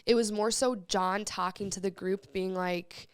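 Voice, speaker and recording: Slightly hoarse, upbeat podcast woman. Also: mid-range voice, steady tone, dry recording. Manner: upbeat, enthusiastic, quick. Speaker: podcast co-host. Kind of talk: casual sports chat.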